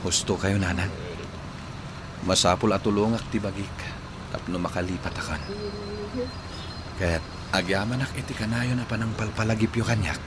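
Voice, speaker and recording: An actor playing a dutiful, grieving widower who speaks earnestly and respectfully in a radio drama. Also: male voice, lowish voice, steady tone, moderate pace, long pauses, noisy background, close to an everyday voice. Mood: sorrowful, earnest. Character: dutiful grieving widower, reassuring his mother.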